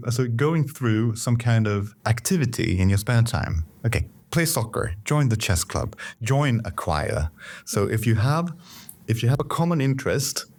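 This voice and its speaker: Low-voiced man explaining language learning. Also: a little mellow. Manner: measured, explanatory, with restarts. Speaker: Swedish language teacher. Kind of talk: podcast conversation.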